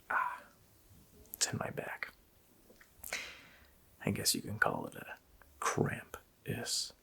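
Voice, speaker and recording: A man voicing a sadistic, joking Christmas demon in a gravelly, whispered ASMR roleplay, with a slow, mockingly playful menace. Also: on the low side, sharp tone, full character voice. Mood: smugly amused. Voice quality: gravelly whisper with creaky growl.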